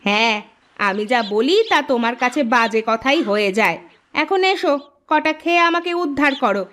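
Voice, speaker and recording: A woman's voice: a little high, expressive, moderate pace, a bit bright. A woman voicing a nagging, sarcastic village wife in a comedy cartoon, always complaining. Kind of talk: Bengali comedy cartoon dubbing.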